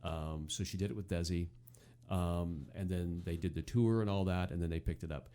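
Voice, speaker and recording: An American man hosting a casual chat show, talking in a halting, conversational way and often repeating words. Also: sits low, steady tone, mellow. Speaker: podcast host. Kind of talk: casual chat about old-time radio.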